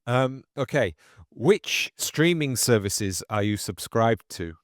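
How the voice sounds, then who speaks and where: A male podcast host asks quick questions in a hesitant way and often restarts his words. His pitch sits in the middle range. The recording is dry and close. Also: lively intonation, dry recording. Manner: hesitant, repeats words, brief back-channel replies. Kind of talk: interview hosting.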